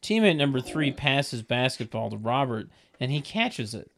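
A man's voice: mid-range voice, sing-song, normal speed, gravelly, fairly bright tone, slight background noise. A man reading stage directions as scripted narration for a comedy table read, literal and tongue-in-cheek.

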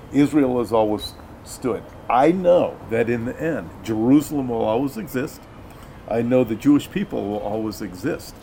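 Mellow American man, earnest interviewer. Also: medium pitch, slight background noise. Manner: earnest, emphatic, repeats phrases. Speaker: pastor, interviewer.

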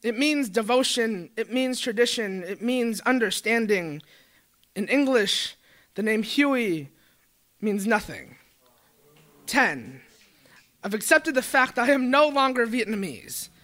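A young male poet performs spoken word in a high voice. His tone is bright with a slight edge of tension. He moves through numbered verses in an earnest, measured recitation. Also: animated.

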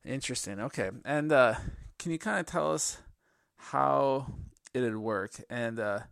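Gravelly-voiced podcast host. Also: male voice, medium pitch, unhurried, fairly bright tone, no echo, studio quality. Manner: friendly, filler-heavy questions. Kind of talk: podcast interview hosting.

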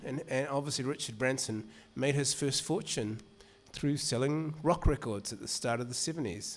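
A man with a New Zealand accent, an author telling a live audience in casual, rambling talk full of restarts. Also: mid-range voice. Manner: chatty and rambling, many restarts and "you know". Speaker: author reading at a literary event.

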